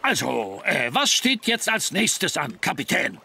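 A blustering older fire chief from a children's cartoon. His high voice swings up and down as he bumbles along with good intentions. Music plays behind him. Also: huge pitch swings, a little slow, vocal fry, bright, forceful tone, heavily acted. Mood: sheepish. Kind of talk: animated children's series dubbing.

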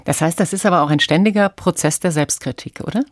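Woman host, slightly low voice, thoughtful questioning, conversational, dry studio sound. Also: quick pace, no room sound. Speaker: radio interviewer, host.

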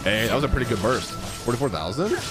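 A man in his thirties reacting casually to a game on a live stream, excitable and slangy. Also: mid-range voice, fast. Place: indoors, small streaming room. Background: game music and sounds.

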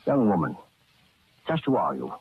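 A man's voice: sits low, very animated, moderate pace, breathy edge, full character voice. Fussy, sinister old man, wildly swooping voice. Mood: guarded. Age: older. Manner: fussy, repetitive, hesitant, chuckling. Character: outwardly genial, evasive, sinister old man luring women. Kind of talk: 1930s-40s radio thriller acting. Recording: muffled old radio recording with faint noise.

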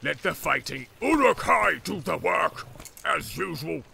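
A man voicing a brutal, jeering orc captain with a gravelly growl, barking and taunting in a fantasy audiobook. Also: a little high, sing-song, a little slow, pushed and bright, a little hiss or hum, pitched above the performer's own voice, full character voice. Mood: barking, furious.

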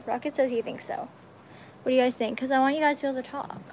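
Young American female livestreamer, high voice, bubbly casual chat, phone recording with barn noise behind. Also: quick pace. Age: young adult. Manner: bubbly, excitable, slangy. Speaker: livestreaming vlogger. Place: metal-walled horse stall in a barn. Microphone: phone at arm's length. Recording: noisy barn ambience, handheld phone.

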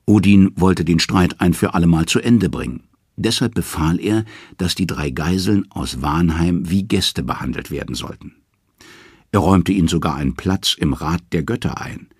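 A man narrating mythological tales from a script in a measured, dramatic storytelling manner. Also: low-pitched, breathy edge, no echo, studio quality.

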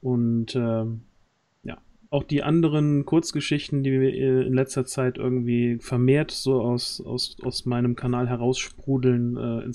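A man in his thirties with a mellow, soft-edged voice hosts a casual stream. He feels his way through sentences with hesitation, close to a desk microphone. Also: medium pitch. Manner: hesitant, searching for words. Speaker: stream host. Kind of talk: casual livestream chat. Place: indoors, at home on a group video call. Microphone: close desk microphone on boom arm.

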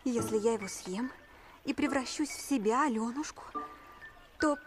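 Woman as a high-voiced lovelorn cartoon horse-girl. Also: normal speed, over music, close to an everyday voice. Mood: anxious pondering. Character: lovelorn young peasant woman turned into a horse. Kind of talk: animated film acting.

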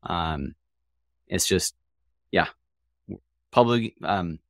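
A man hosting a podcast in a casual interview style, halting and now and then stuttering. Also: deep voice, no room sound, studio quality. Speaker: podcast host.

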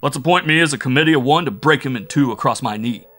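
A man's voice: medium pitch, expressive, a little fast, pitched above the performer's own voice, lightly acted. A man voicing a big, hot-headed, blustering spaceman in a story reading, blunt and boisterous. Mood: triumphant bluster. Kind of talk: audiobook character voice.

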